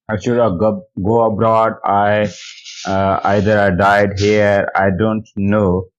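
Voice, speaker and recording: Low-pitched Pakistani man, slow and halting. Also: clear, resonant tone. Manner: halting, repetitive, searching for words in non-native English. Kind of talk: personal testimony in a voicemail. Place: recorded voicemail message. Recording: dull lo-fi voice message.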